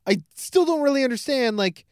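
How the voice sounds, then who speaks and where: A podcast co-host recapping and reacting to a film in casual, animated chat with frequent swearing. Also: high voice, brisk, clear, resonant tone, no room sound, clean studio sound.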